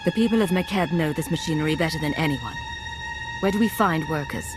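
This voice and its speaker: A woman's voice: sits low, brisk, over music, natural voice. A woman playing a cool, decisive agent in command in a video game, controlled and authoritative. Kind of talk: video game cutscene acting.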